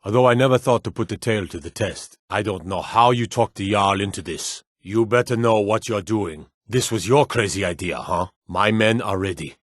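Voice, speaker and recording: Man as a stern fantasy city guard commander, low husky voice, gruff, weighty, formal, loyal, video game acting. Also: normal speed, few pauses, no echo, studio quality, natural voice. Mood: brisk, wary. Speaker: voice actor for game guard lines.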